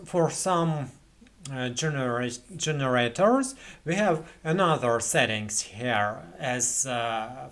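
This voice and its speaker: A young man with a Russian accent walks through a screen-recorded software tutorial in English, which is not his first language. He speaks slowly and haltingly, with frequent "uh"s and repeated words. His voice sits at a middle pitch, recorded at a desk in a small room. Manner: hesitant, many fillers and restarts. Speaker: tutorial host. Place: small indoor room at a computer.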